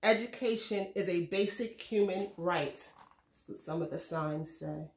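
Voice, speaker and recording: A woman reading a children's storybook aloud in a measured, expressive way. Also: on the low side, roomy sound. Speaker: read-aloud host reading a children's book. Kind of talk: scripted storybook narration for children.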